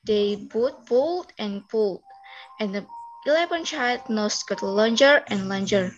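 A young woman narrating a scripted story as a student, reading carefully and haltingly on a video call. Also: mid-range voice, animated, soft background music. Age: young adult. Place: indoor room, video call. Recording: muffled video call audio.

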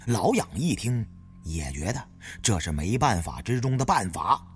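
Male storyteller for a first-person audiobook: expressive, dramatic, very wide intonation, soft music behind. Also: fairly low pitch, normal speed. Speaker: audiobook narrator.

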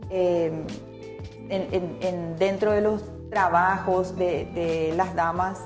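A woman's voice: lowish voice, flat delivery, takes it slowly, faint music behind. A middle-aged woman from Paraguay whose first language is Italian, chatting casually as a guest in a TV studio, with many fillers and restarts. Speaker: interview guest, painter. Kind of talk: casual interview chat. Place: TV studio set with plants.

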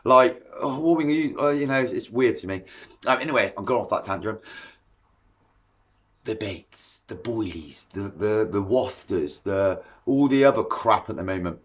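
A man's voice: lowish voice, normal speed. A man, ranting to a camera at home about fishing, blunt and exasperated, gesturing as he talks. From England. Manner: blunt, animated and exasperated, gestures while talking. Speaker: fishing vlogger. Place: living room at home, indoors. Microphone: webcam at desk distance.

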